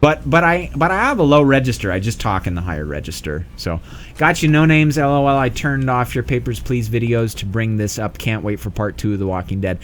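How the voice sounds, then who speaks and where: Joking male streamer with a lively tenor. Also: mid-range voice, fairly quick. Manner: jokey, laughs often, talks over his co-host. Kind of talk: casual gaming chat. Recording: faint background noise.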